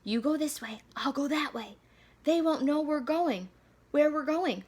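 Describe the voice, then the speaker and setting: A woman voicing a plucky, excitable young boy in a children's picture book, speaking with urgent exclamations. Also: high voice, measured pace, voice pushed up.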